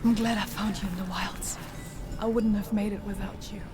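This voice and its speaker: An actress playing a grief-stricken, haunted young warrior woman in a video game, with a breathy, strained, half-whispered voice. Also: mid-range voice, steady tone, normal speed, loud music behind the voice, natural voice. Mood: searching, tender. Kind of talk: dramatic game voice acting. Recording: noisy ambience behind voice.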